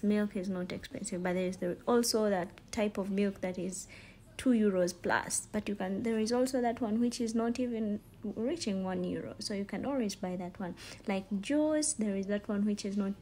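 A young woman with a Ugandan accent narrating a casual vlog in an informal, halting, repetitive way. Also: mid-range voice, mellow. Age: young adult. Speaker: vlogger, student. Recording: store ambience noise, handheld phone. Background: faint ambient noise.

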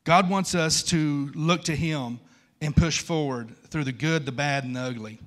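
A man's voice: on the high side, normal speed, fairly bright tone. A man, preaching an informal, conversational sermon and repeating phrases for emphasis. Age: 50s. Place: large church stage at a wooden pulpit.

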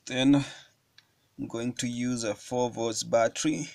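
A man giving a hands-on electronics tutorial at a table, explaining each step slowly with frequent fillers. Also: mid-range voice, fairly even intonation, creaky, a little mellow, no echo. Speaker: electronics hobbyist demonstrating a circuit. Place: indoors at a wooden table.

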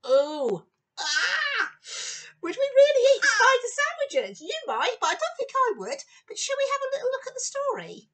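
A woman in her fifties giving an expressive storytime read-aloud for young children, with exclamations and chatty asides. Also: extremely high pitch, normal speed, far from the mic. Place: small room at home, indoors.

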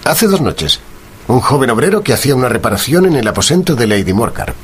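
A man acting in a radio drama as a shrewd, self-assured gentleman detective with a measured, analytical manner. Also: medium pitch, big pitch swings, slight background noise, close to an everyday voice. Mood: pensive, deliberate. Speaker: voice actor.

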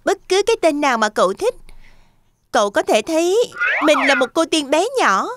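Woman doing a tiny friendly fairy, sweet chatty, really high voice, cartoon. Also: big pitch swings, normal speed, noisy background. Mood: friendly, eager.

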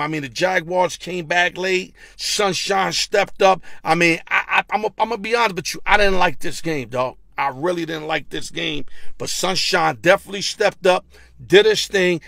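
A middle-aged man hosting a casual NFL recap from his parked car, speaking into a phone at arm's length. He reads stats bluntly and fast, with slang and stammered repeats. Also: a little high, gravelly, a bit bright, no room sound, studio quality. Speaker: sports betting show host. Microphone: phone held at arm's length.

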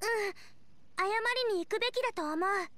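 A woman's voice: very high voice, fairly quick, no room sound. An actress playing a cheerful, eager young girl chef in an anime game, bubbly and earnest. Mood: apologetic. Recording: clean studio voice over soft music.

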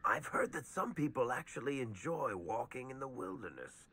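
A man's voice: medium pitch, sing-song, unhurried, pushed and bright, husky, full character voice. An actor playing a wry, chatty male companion in a fantasy game, in a conversational style.

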